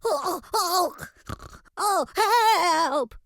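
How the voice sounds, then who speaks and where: A woman voicing an excitable young boy pig in a storybook, exclaiming in alarm. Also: extremely high pitch, takes it slowly, no room sound, clean studio sound, voice pushed up, exaggerated voice. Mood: frightened.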